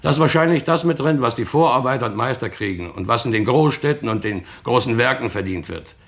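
An actor playing a defiant, plain-spoken factory worker in a radio crime drama, coarse and argumentative, with a creaky voice. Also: male voice, mid-range voice, animated, a little fast, a bit bright. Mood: indignant.

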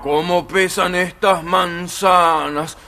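Slow, groaning apple tree. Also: male voice, high voice, steady tone, pushed and bright, faint music behind, exaggerated voice. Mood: weary pleading. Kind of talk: dramatized children's story record.

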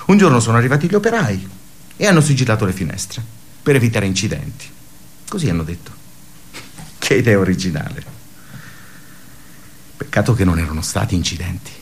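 A sardonic, brooding office worker with a lowish voice. He delivers a theatrical radio monologue, weighing his words with pauses and bitter emphasis. There is some faint noise and ambience behind him. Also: animated. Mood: dry sarcasm.

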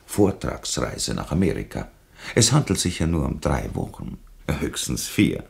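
A smooth-mannered Austrian official in a 1960s radio play. His extremely low voice crackles with heavy creak and rises and falls freely as he wheedles and condescends. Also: wildly swooping pitch, unhurried, heavily acted. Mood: falsely soothing. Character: oily, patronising male government official, falsely soothing.

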